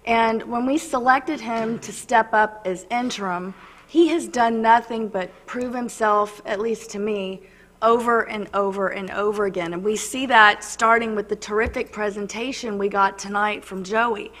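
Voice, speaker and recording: Hoarse woman council member, reverberant chamber. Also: medium pitch, steady tone, moderate pace, a bit bright. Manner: earnest, building a case point by point. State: hoarse, losing her voice. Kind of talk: formal remarks at a council meeting.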